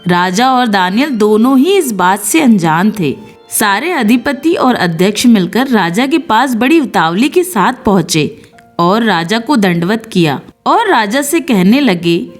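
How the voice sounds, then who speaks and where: A woman's voice: mid-range voice, big pitch swings, unhurried. A woman reading a scripted devotional Bible story in an earnest, storytelling manner. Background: background music.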